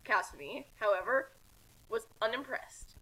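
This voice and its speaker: A woman reading a story aloud as narrator, in an animated, informal style with casual asides. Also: fairly high pitch, unhurried, breathy edge, low-quality recording. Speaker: narrator reading a fan fiction.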